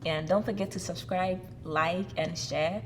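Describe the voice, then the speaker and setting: A woman's voice: lowish voice, fairly even intonation, measured pace, background noise. A woman hosting a casual interview, speaking in an earnest, encouraging way. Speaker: host.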